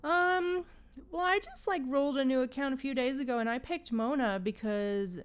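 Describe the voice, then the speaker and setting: A woman's voice: high voice, moderate pace, clear, resonant tone. A woman livestreaming a game, chatting casually and musing aloud in an informal, slangy way. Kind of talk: casual live stream chat and commentary.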